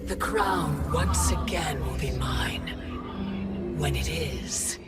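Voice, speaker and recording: A slow, commanding, scheming ruler. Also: female voice, low-pitched, expressive, heavily acted. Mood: resolute, scheming. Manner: commanding, deliberate. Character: proud, scheming ruler set on regaining a crown. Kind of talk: cinematic video game acting. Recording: dramatic music behind the voice.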